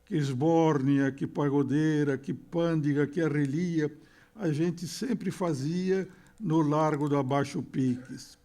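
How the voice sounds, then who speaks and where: A man's voice: fairly high pitch, fairly even intonation, slow pace, warm tone. Older man putting on a wistful Italian-São Paulo immigrant. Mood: nostalgic. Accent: Italian immigrant Portuguese of São Paulo.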